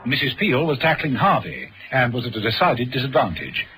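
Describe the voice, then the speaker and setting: A man's voice: medium pitch, sing-song, a little fast, sharp tone. A man reading scripted dramatic narration for a vintage radio adventure serial. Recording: noisy vintage radio recording.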